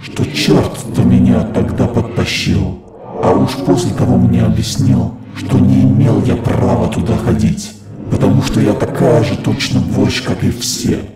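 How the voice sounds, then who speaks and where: A man voicing an evil, menacing demon in drawn-out declamation, with a monstrous pitched-down, reverb-laden effect. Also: over loud music. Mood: dark, bitter. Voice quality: distorted, booming, monstrous. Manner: slow, drawn-out dramatic declamation. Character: evil male demon devil, slow and menacing. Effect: pitched-down demon filter with echo and reverb.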